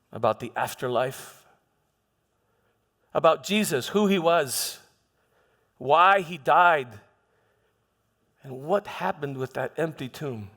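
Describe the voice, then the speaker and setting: A man playing an aging, haunted Roman governor, delivering a reflective, dignified dramatic monologue in measured, halting phrases. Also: on the high side, a bit bright. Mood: solemn, searching. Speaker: performer in a church dramatization. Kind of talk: dramatic first-person monologue. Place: large church hall. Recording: long hall reverb.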